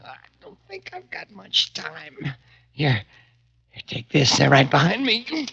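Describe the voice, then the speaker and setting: A man's voice: on the high side, huge pitch swings, slow, gravelly, pushed and bright, husky, no room sound, heavily acted. An actor playing a mortally stabbed man, gasping and weak, pleading in broken phrases in an old-time radio drama. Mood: desperate, dying. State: badly wounded, gasping and weak. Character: mortally stabbed man desperately begging for help. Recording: dull vintage radio recording.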